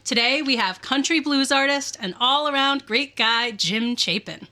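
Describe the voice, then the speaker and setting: A woman's voice: high-pitched, a little slow, bright, forceful tone. A woman co-hosting a show with playful scripted banter. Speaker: co-host.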